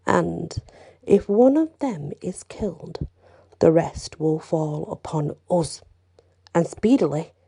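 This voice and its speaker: A woman's voice: low-pitched, warm tone, slightly rough, heavily acted. A woman voicing a fearful male ship captain with an English accent, giving an urgent, emphatic warning.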